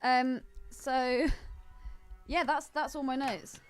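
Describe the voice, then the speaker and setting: A woman's voice: sits high, steady tone, drawn-out words, smooth, soft background music. A woman co-hosting a podcast in casual, blunt, jokey banter. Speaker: podcast co-host.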